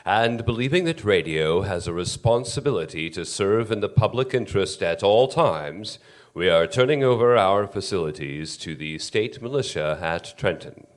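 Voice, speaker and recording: An actor playing a sober network executive making a stately official announcement in a radio drama. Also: male voice, low-pitched, lightly acted. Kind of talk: stage radio drama acting.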